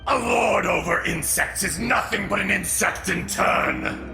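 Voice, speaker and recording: A man voicing a furious, proud and bitter ancient elemental dragon in a video game, gravelly and grandiose, declaiming with contempt. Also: sits high, a little slow. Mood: furious, defiant. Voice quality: heavily gravelly. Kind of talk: dramatic video game voice acting. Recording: loud dramatic game music underneath.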